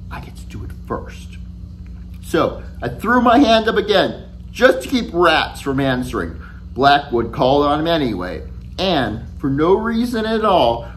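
Man reading a storybook with lively pitch swings. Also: a little hiss or hum, rough recording. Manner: animated, conversational first-person storytelling. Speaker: author reading own book aloud. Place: small indoor room at home with shelves.

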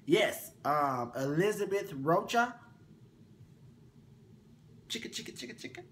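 A young man co-hosting a casual chat show, sassy and animated, his voice swinging up high, recorded in a small bedroom. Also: a little slow, a little hiss or hum. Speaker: co-host.

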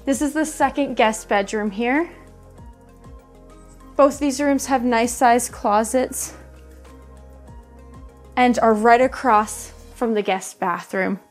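A woman in her thirties with a fairly high voice guides viewers through a show home as she walks from room to room. Her delivery is upbeat and presenter-like. Soft music plays faintly behind her. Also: slow pace, pauses often. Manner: upbeat presenter, describing rooms while walking through. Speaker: house tour host. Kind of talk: friendly real estate walkthrough. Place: empty furnished show home indoors. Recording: faint background music under voice.

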